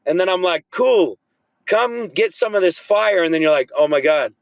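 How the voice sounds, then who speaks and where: A man's voice: high voice, lively intonation, moderate pace, dry recording. A man in his early forties speaking as a coach on a podcast, casual and motivational, blunt and fervent. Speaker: men's coach, podcast guest.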